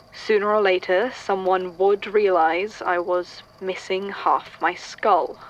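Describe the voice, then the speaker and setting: A woman's voice: on the low side, highs cut off. A woman playing an archivist in a fan audio drama, reading old supernatural statements and thinking aloud. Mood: weary, matter-of-fact.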